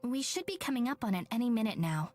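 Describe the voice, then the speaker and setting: A woman's voice: a little high, sing-song, quick pace, a little mellow, soft background music, pitched above the performer's own voice. A woman voicing an intense, guarded and brusque local woman, matter-of-fact, in a visual novel game.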